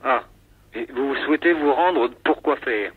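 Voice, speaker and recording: A man's voice: mid-range voice, unhurried, breathy edge. A man on a telephone line, speaking as a polite, procedural police switchboard officer asking questions. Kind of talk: official phone call, questioning. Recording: narrow, tinny phone line.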